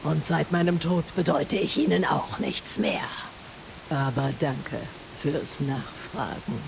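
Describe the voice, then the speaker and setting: A quiet cold undead woman. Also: sits low, animated, slow pace, creaky, noisy background, exaggerated voice. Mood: subdued. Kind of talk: game dialogue.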